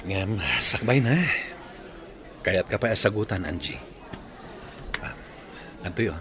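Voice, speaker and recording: A devoted young suitor with a low voice in a radio drama. He has an Ilocano accent and speaks in a tender, heartfelt, measured way, with faint noise in the recording. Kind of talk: Filipino radio drama acting.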